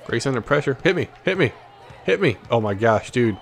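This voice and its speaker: A man's voice: mid-range voice, very animated, fairly quick, creaky, a faint crowd. A man giving casual, slangy gameplay commentary, cocky and playful with plenty of trash talk. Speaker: gaming video host.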